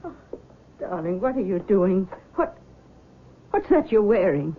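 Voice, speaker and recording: A woman's voice: medium pitch, sing-song, unhurried, a little hiss or hum. An actress playing a controlling, anxious middle-aged mother, speaking in a coaxing, fretful way in old-time radio drama style. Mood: fretful, defensive. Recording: dull old radio recording.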